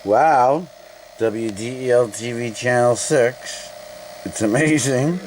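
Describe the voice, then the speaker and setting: A man's voice: mid-range voice, takes it slowly, darkish tone, a little hiss or hum, poor audio. A man casually demonstrating old equipment, thinking aloud in a tentative, unscripted way as he goes. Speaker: demonstrator of vintage video equipment.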